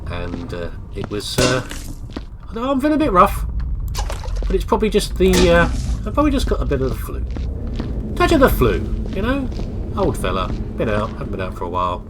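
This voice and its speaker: A man's voice: mid-range voice, wildly swooping pitch, a little fast, long pauses, background noise. A middle-aged man with a congested, bunged-up nose, chatting in a meandering, self-deprecating way while commenting on a game. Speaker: let's play commentator. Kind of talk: rambling casual gameplay commentary. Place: home office.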